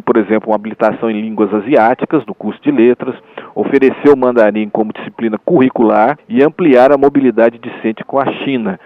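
A man with a fairly low voice explains things on a radio interview, and he speaks in a hesitant way, often restarting his phrases and adding small tag questions. He is heard through a narrow, phone-like line. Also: dry recording. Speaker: newsletter editor, radio guest.

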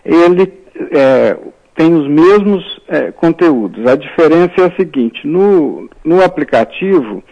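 A man's voice: a little high, animated, unhurried, darkish tone, lo-fi sound. A man, a writer, talking as a guest in a radio interview, speaking thoughtfully and hesitantly, with many fillers and restarts.

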